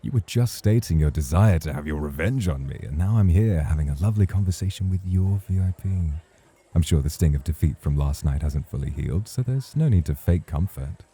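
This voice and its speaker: A man's voice: a bass voice, lively intonation, a little fast, dark tone, heavily acted. A man playing a suave, teasing champion nightclub host in a romantic ASMR roleplay, speaking in a courtly, provocative way. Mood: teasing, probing. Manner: smooth, courtly, playfully provocative.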